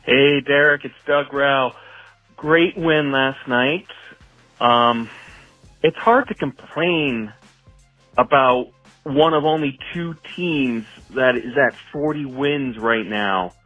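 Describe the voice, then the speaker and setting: A man calling in as a sports fan, leaving a casual voicemail in halting, short phrases on a lo-fi recording. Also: medium pitch, dry recording, soft background music.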